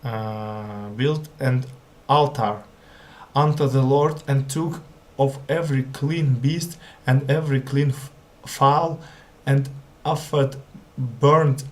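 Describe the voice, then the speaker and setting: Slow, hesitant non-native English reader, male. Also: medium pitch, steady tone. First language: not English. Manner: hesitant, stumbling, repeats words. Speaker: language learner. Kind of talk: halting read-aloud of scripture.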